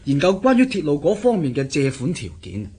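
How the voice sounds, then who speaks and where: An actor playing a self-assured, chatty government interpreter in a radio drama, explaining things in a confiding manner. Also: male voice, on the high side, huge pitch swings, a little fast, a little mellow, breathy edge, heavily acted. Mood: confiding, pleased. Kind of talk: Cantonese radio drama acting.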